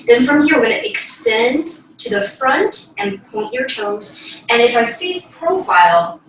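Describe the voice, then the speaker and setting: A woman's voice: high-pitched, animated, a little slow, slight background noise. A woman ballet teacher leading an online class from a bedroom, giving short, encouraging cues while demonstrating, picked up by a camera across the room. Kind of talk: instructing an online dance class. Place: bedroom, indoors. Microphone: camera mic across the room. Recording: echoey room, distant mic, music over voice. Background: music.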